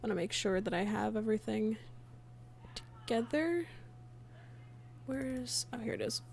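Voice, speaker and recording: A woman with a fairly high voice talks very slowly on a casual livestream. She sounds absent-minded and halting, muttering to herself as she works. There is slight noise behind her. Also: lively intonation, vocal fry, a little mellow. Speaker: livestreamer and comic dub creator. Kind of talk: casual livestream chat while working. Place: indoors at a computer, streaming.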